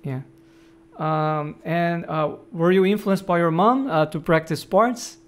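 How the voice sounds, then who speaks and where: A middle-aged man hosting a podcast interview, reading an introduction in a measured, friendly way from his home office. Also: a little high, clean tone. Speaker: podcast host.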